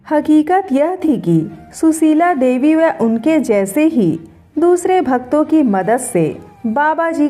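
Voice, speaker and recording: A woman reading a scripted story in an expressive storytelling manner. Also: high-pitched. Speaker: story narrator. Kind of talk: scripted Hindi story narration. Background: background music.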